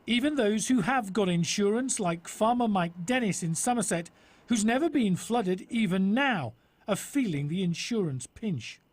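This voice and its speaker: High-voiced British male news reporter. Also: lively intonation, moderate pace, no echo. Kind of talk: scripted news report narration.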